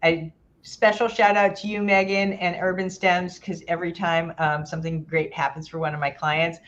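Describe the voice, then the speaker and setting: A low-voiced woman hosts an online gathering, chatty and encouraging. Her voice comes through dull, lo-fi call audio. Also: level tone, moderate pace. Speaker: host. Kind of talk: hosting an online party. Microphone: video call.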